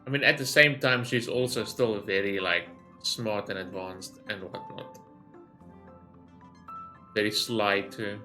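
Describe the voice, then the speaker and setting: A man's voice: on the low side, fairly even intonation, a little slow, pauses often, over music. A young man hosting a gaming channel, giving casual reaction commentary and rambling with many fillers.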